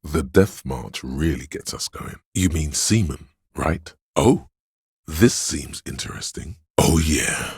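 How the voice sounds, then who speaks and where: A man voicing a game character, the inner voice of addiction, a husky, seductive, goading hedonist who coaxes and mocks as he savors each word. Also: expressive, darkish tone, dry recording, clean studio sound, exaggerated voice. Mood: sly, enticing. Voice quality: husky, gravelly bass. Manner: slow, coaxing, savoring words, mocking. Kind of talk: dramatic second-person game narration.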